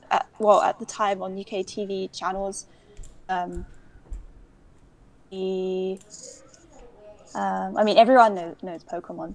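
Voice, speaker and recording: A woman's voice: mid-range voice, a little mellow, poor audio. A young woman from London with a London accent, a podcast guest telling her story in casual conversation, with many ums and restarts. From England. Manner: chatty, frequent ums and self-corrections.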